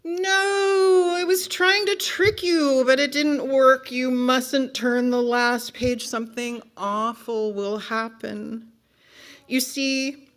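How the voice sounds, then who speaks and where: A woman's voice: high voice, a bit bright, voice pushed up. A woman reading a picture book aloud as a frantic, bossy narrator who scolds and begs the reader in an exasperated, melodramatic way. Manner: exasperated, melodramatic, emphatic pleading with drawn-out warnings. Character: frantic, bossy, pleading storybook narrator begging reader to stop. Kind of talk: dramatic children's picture-book read-aloud.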